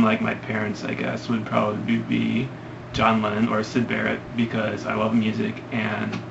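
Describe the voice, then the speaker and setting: Young guy from Ohio doing a casual Q&A, rambling with ums; gravelly voice on a muffled, hissy recording. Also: lowish voice. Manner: hesitant, rambling with many ums. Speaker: vlogger answering questions. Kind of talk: casual Q&A vlog. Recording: lo-fi, noisy home recording.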